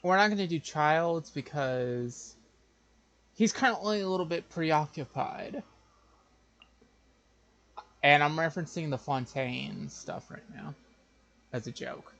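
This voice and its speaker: A man's voice: on the high side, slow, creaky, long pauses, fairly bright tone. A man doing casual live game commentary, thinking aloud in a hesitant, wry way. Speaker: game streamer.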